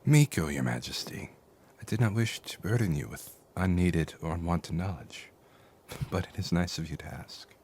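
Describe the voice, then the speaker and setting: An actor playing a weathered, courteous, philosophical cart driver in a fantasy audio drama, speaking formally and reflectively. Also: male voice, sits low, lively intonation, moderate pace, a little mellow, slight background noise. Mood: warm, wistful.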